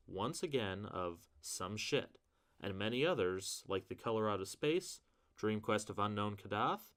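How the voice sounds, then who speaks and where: A man's voice: lowish voice, a bit bright. A man giving casual literary commentary as a video host, with a wry, self-deprecating manner and mildly sweary asides. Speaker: video host reviewing horror fiction.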